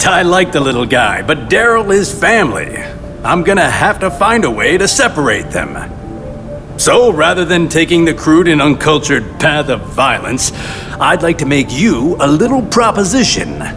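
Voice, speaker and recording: An actor playing a glib, self-important crime boss in a video game cutscene, talking with pompous mock courtesy. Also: male voice, a little high, big pitch swings, moderate pace. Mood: smoothly bargaining. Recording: long reverb with loud music behind. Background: music.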